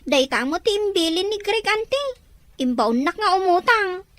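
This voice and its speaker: A very high, dry voice: a woman acting a whiny young student sister in a radio drama. She wheedles and complains, always dodging work. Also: expressive, normal speed, fairly bright tone, dry recording. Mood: pleading. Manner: complaining, pleading.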